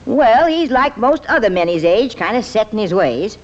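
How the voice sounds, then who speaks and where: A woman's voice: fairly high pitch, big pitch swings, moderate pace, a little hiss or hum. An American actress playing a plain-spoken, good-humored older woman with a folksy manner in an old radio comedy. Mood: brisk, folksy. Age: middle-aged or older. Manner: folksy, blunt, chuckling. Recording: muffled old-time radio recording.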